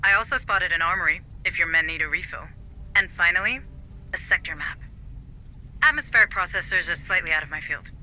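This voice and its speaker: A woman playing a cool, analytical space-mission officer in a video game, giving measured, practical advice. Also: on the low side, bright, forceful tone, rough recording. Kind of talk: video game dramatic acting.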